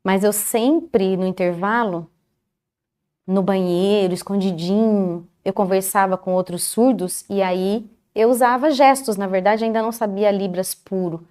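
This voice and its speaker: A woman recounting her own life story in a calm, measured way, pausing often between short phrases. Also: mid-range voice. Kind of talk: first-person life story in a TV interview.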